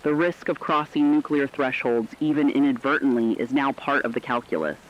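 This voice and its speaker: A man's voice: medium pitch, a little fast, lo-fi sound, natural voice. A man playing a serious radio war analyst, giving scripted emergency news commentary in a formal, emphatic way. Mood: serious, urgent.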